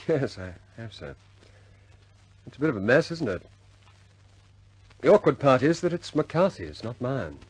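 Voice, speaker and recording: An actor playing a loyal, deferential air force officer in a radio war drama, speaking with clipped understatement. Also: male voice, mid-range voice, big pitch swings, unhurried, long pauses, slightly rough, dry recording, poor audio. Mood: wry. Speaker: squadron pilot reporting to his superior.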